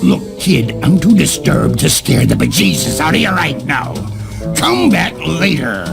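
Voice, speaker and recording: A man voicing a grumpy, melodramatic old ghost pirate captain in a cartoon game, gravelly and blustering. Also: a little high, very animated, measured pace, full character voice. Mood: grumpy, wounded. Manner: theatrical, blustering pirate talk. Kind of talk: cartoon video game voice acting. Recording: loud game music behind.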